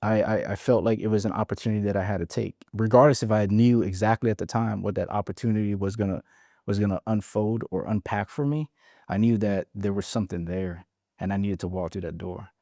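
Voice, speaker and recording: A man's voice: lowish voice, fairly even intonation, a little fast, warm tone, no room sound, studio quality. A man from Hawaii in his forties, a podcast guest frankly telling his story and often restarting his words. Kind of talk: casual interview storytelling.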